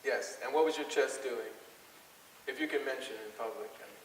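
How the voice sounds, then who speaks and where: A man's voice: mid-range voice, fairly quick, heavy background noise. A man speaking as a workshop leader, questioning participants and explaining in an encouraging way, often repeating answers back. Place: large hall with an audience. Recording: echoey hall, distant microphone.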